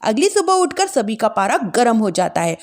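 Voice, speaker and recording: A woman narrating a scripted domestic story in an expressive storytelling style. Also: a little high, moderate pace.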